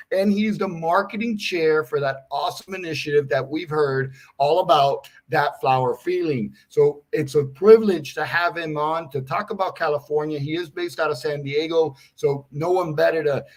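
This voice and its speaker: A man hosting a livestream talk show, eagerly urging viewers to follow and ask questions. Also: a little high. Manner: energetic, repetitive calls to engage. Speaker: show host.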